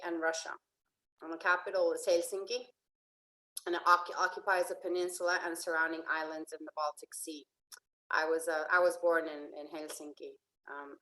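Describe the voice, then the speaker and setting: A woman life coach from Finland giving a casual slideshow presentation, hesitant with many fillers and restarts, often trailing off softly. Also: lowish voice, low-quality recording. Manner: trails off softly at phrase ends.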